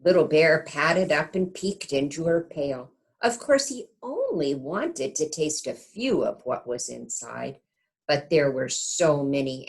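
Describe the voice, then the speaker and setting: A woman reading a storybook aloud to young children in an expressive teacher's manner, pausing to check in with the listeners. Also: low-pitched, creaky.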